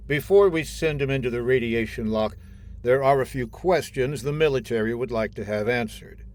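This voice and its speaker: A man's voice: mid-range voice, normal speed, a little mellow, close to an everyday voice. A man playing a cold, unyielding army colonel, formal and authoritative, in old-time radio drama style. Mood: commanding.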